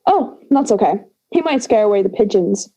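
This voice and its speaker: Mellow, dry schoolgirl friend, acted by a young woman. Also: mid-range voice, animated, normal speed, rough recording. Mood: wary, wry. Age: young adult. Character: wry schoolgirl friend, sheepish but bossy. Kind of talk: audio drama dialogue.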